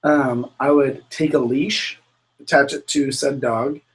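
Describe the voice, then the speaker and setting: A man's voice: medium pitch, steady tone, slow pace. A young man from the United States, a podcast guest who rambles with lots of fillers. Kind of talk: casual chat.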